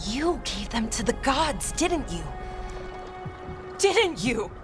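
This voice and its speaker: A woman's voice: fairly high pitch, very animated, slow pace, over music. A woman playing a composed, watchful, probing investigator in a video game drama. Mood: accusing.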